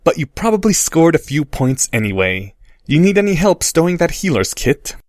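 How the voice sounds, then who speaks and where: A man voicing a haughty boy wizard who talks in a slow, sneering drawl. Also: a little high, big pitch swings, darkish tone, no echo, clean studio sound, voice pushed up. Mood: smug.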